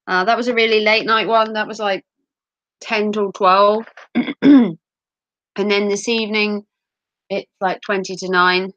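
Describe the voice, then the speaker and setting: A British woman streams while sick with a cold, her voice wheezy and broken by throat clearing. She rambles casually with lots of ums and self-mocking jokes, her tone bright and forceful. The close mic sounds dry and a little dull. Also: medium pitch, fairly even intonation, a little slow, long pauses, no room sound. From United Kingdom. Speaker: livestream host. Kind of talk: casual livestream chat.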